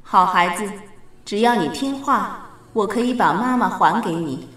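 An actress playing a calm, commanding goddess of fate in a Chinese radio drama. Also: female voice, mid-range voice, a little slow, reverberant, recorded from a distance, over music, natural voice. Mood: calm, mysterious.

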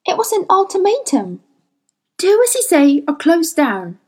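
A woman's voice: very high-pitched, huge pitch swings, a little slow, voice pushed up, heavily acted. A woman voicing an indignant, principled woman scientist who argues heatedly.

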